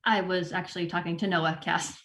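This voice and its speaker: An actress playing an awkward, earnest store manager in a sitcom table read, mild and a little hesitant. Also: female voice, on the low side, fairly even intonation, a little fast, fairly bright tone, a little hiss or hum.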